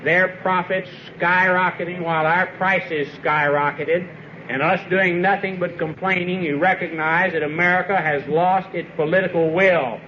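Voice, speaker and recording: A man's voice: sits high, fairly even intonation, normal speed. A man speaking in an impassioned, exhortative political style, earnest and rhetorical. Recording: dull, noisy archival radio recording.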